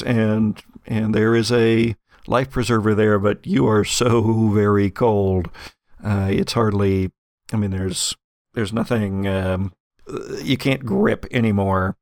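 A man with a fairly low, creaky voice runs a tabletop game. He improvises the narration in a halting, conversational way. The sound is close and dry. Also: a little mellow, dry recording. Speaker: game master.

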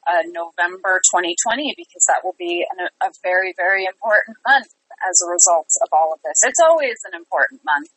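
A woman talks as an interview guest in a slightly low, bright voice with a husky edge. She is casual and reflective, earnest about what she says, and leans on repeated words for emphasis. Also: expressive, fairly quick, no echo, low-quality recording. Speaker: interview guest, theatre company artistic director; tour director.

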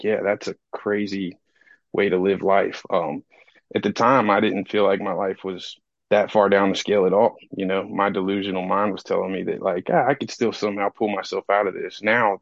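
A man with a cold, speaking in a raspy, hoarse voice, telling his story reflectively on a casual podcast, with many fillers. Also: fairly low pitch, no echo, lo-fi sound. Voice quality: raspy, gravelly. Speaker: podcast co-host. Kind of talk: casual recovery podcast talk.

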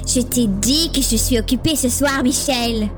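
A woman's voice: a little high, unhurried, loud music behind the voice, natural voice. A woman playing an exasperated, impatient teenage girl in an amateur dramatised horror story. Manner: impatient, insistent.

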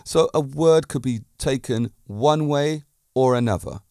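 A man's voice: mid-range voice, measured pace, a little mellow, no echo, studio quality. A man hosting a podcast, giving a self-assured motivational monologue full of emphatic points and rhetorical questions. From Britain. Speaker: podcast host.

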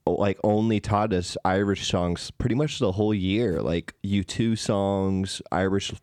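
A man's voice: deep voice, unhurried, a little mellow, smooth, no echo, clean studio sound. A young man co-hosting a casual podcast, easygoing, chuckling, and often trailing off mid-sentence. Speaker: podcast co-host. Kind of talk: casual chat.

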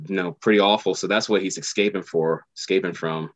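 A man's voice: low voice, fairly even intonation, brisk, no room sound. A man, an actor, answering interview questions casually and hesitantly, full of fillers. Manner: hesitant, many fillers like "you know". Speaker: actor guest.

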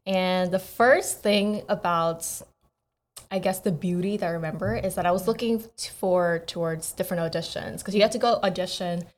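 A young woman born in Korea and raised in America, co-hosting a podcast and telling personal stories in a casual, animated way. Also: fairly low pitch, normal speed. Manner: animated storytelling with quoted asides. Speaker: podcast co-host. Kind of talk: casual chat.

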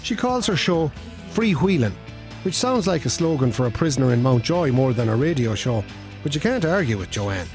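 An actor with an Irish accent as a wry, matter-of-fact narrator of a comic radio play. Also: male voice, mid-range voice, sing-song, moderate pace, darkish tone, faint music behind, lightly acted. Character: wry narrator of local radio mockumentary, man. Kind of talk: scripted narration.

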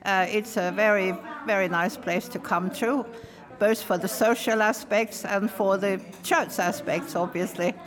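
An older woman born in Denmark, a native Danish speaker, talking in a friendly, chatty way with little hesitations. She is being interviewed in a church hall after a service, with a crowd chattering clearly behind her. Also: mid-range voice, fairly even intonation, unhurried. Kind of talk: casual interview chat.

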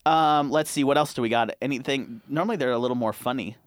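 A man's voice: mid-range voice, fast talker, clean tone, no echo, studio quality. A man co-hosting a podcast, chatting casually and laid-back, swearing freely and laughing often. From Montana, US. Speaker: podcast co-host.

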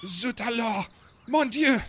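High-pitched, excitable painter. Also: male voice, slow pace, heavily acted. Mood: exclaiming. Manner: exclamatory, melodramatic. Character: excitable, frustrated male French painter of frogs. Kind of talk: comic radio play acting.

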